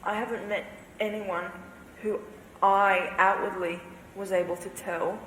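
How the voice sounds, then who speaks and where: A woman's voice: mid-range voice, steady tone, a little slow, a bit bright, slight background noise. Young woman rambling in a roomy hall. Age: 20s. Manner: rambling, lots of fillers and hedges. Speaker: panel guest. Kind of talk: panel discussion answers. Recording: roomy hall with faint ambience.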